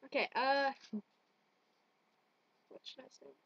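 A young woman with a high voice, chatting casually and teasing her friend. She is playful and swears easily, heard through dull, lo-fi webcam audio. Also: takes it slowly, fairly bright tone, no room sound. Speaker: friend joining in character voices. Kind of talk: casual joking chat. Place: small bedroom at home, indoors. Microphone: computer webcam mic at arm's length.

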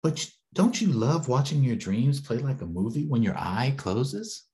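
An American man voicing a gentle, reassuring heart spirit from a picture book, slow, soothing and lilting. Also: mid-range voice, big pitch swings, voice pushed up.

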